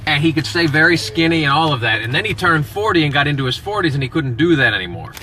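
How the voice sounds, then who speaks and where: A man's voice: on the high side, lively intonation, quick pace, bright, forceful tone, low-quality recording. Easygoing man talking while driving. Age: 30s. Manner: easygoing, explains at length. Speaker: family vlogger, husband. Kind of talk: casual chat. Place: driving a car. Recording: car road noise behind.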